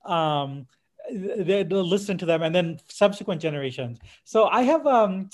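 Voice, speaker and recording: Higher-voiced man in digressive podcast talk. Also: animated, darkish tone. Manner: halting, digressive, with frequent self-interruptions and tangents. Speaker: podcast co-host. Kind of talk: casual podcast discussion of music history.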